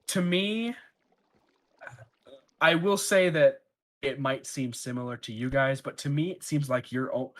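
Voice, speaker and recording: Rambling young man arguing his case. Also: medium pitch. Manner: rambling, filler-heavy argument. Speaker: debate candidate. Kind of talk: casual roleplay debate.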